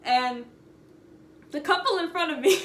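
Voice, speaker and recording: A young woman casually telling an anecdote in a chatty, animated, sarcastic way, stretching words for emphasis. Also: sits high, a little slow. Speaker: storytime vlogger. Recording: roomy echo, noticeable background noise.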